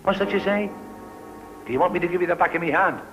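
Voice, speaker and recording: Old sailor, gruff, colloquial, scolding yet fond, very lively intonation, film drama, prominent background music. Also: male voice, on the low side, brisk, muffled recording. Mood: scolding, alarmed. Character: gruff, fussing, superstitious old sailor minding shipwrecked children. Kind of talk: classic film acting.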